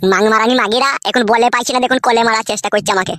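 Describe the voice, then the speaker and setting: A woman's voice: on the high side, steady tone, very fast, a bit bright, no room sound, studio quality, lightly acted. A woman voicing a hot-headed, complaining married village son in a comic cartoon. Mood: aggrieved. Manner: ranting, complaining to his father.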